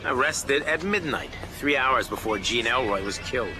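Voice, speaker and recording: An actor playing a brusque, slangy police detective giving a clipped report in a radio drama. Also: male voice, mid-range voice, expressive, normal speed, music in the background, natural voice. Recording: clear urban ambience and vehicle noise.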